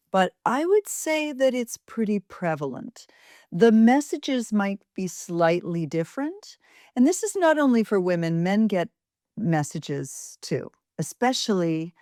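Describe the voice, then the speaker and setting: An American woman, a voice professor being interviewed, with a fairly deep voice, speaking thoughtfully with pauses and fillers. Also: darkish tone, dry recording, studio quality. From United States. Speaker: voice and speech professor, guest. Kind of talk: interview answers.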